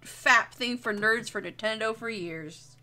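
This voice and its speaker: Bright-voiced young woman chatting on stream. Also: a little high, unhurried. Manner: rambling, sweary, jokey. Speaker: streamer, artist. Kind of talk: casual livestream chat while drawing.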